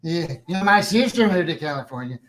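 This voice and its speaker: A man's voice: a little high, animated, fairly quick, fairly bright tone. A man playing a genial, folksy, easily amused small-town official in a table read of a comedy series. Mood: chatty, friendly.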